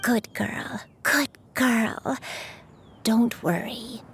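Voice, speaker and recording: A woman voicing a gentle elderly grandmother, a crane in human form, speaking tenderly in a game cutscene. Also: fairly high pitch, fairly even intonation, a little hiss or hum. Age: older. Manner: tender, slow and soothing.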